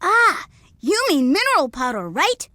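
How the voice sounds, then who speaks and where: A woman's voice: very high-pitched, very animated, measured pace, pushed and bright, dry recording, full character voice. A woman voicing a confident, outspoken little boy in a video game dub, matter-of-fact and eager to explain things. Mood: earnest.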